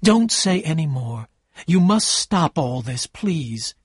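A man's voice: a little high, big pitch swings, measured pace, husky, dry recording, studio quality, voice pushed up, heavily acted. A man voicing an unhappy young married woman in a story, plaintive and pleading, torn in love.

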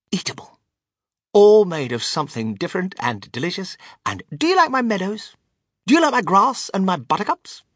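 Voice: breathy edge, no room sound, studio quality, heavily acted. A storybook narrator voicing an exuberant, boastful, eccentric man who owns a chocolate factory, in a theatrical, emphatic delivery. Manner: theatrical, emphatic, swooping between hushed and shrill.

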